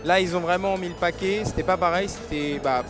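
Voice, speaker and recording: Man, high-pitched, gushing casual event presenter, music in the background. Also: fairly quick. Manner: enthusiastic, repeats himself for emphasis. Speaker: streamer and stand presenter. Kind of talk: casual interview chat addressed to viewers. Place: convention stand. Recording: loud music behind the voice.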